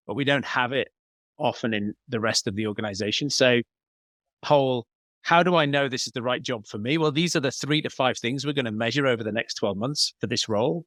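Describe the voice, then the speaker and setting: A man's voice: medium pitch, a bit bright, no echo, clean studio sound. A man giving explanatory, conversational answers as a business coach in an interview, working step by step through examples. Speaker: business coach, podcast guest.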